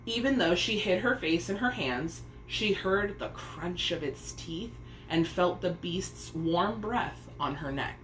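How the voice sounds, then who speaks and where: A woman narrates a children's story expressively. Her pitch is animated and her pace is on the slow side. The recording has clear room echo and faint noise. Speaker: storybook reader.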